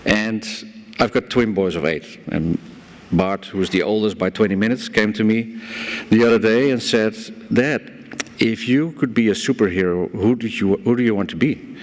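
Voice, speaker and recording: A man's voice: lowish voice, moderate pace, a little mellow. Dutch-accented man giving a talk. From Netherlands. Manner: storytelling, self-deprecating humor. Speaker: guest speaker. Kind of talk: conference presentation. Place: conference hall. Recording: hall reverb from a lecture room.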